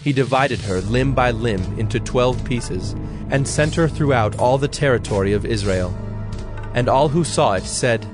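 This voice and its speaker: Solemn male scripture narrator, mid-pitched. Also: moderate pace. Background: background music.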